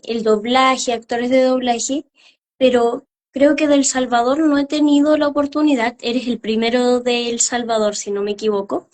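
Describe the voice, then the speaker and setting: Chatty woman hosting a live interview. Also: on the high side. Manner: warm, chatty, uses fillers "eh", "ujú". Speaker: live-stream host. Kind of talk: casual interview questions.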